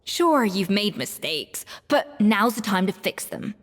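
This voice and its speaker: A woman's voice: medium pitch, sing-song, a little slow. A woman playing a blunt, imperious young pastry chef in a game, with a theatrical no-nonsense manner. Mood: firm, forgiving. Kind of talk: English game voice acting. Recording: long reverb over background music.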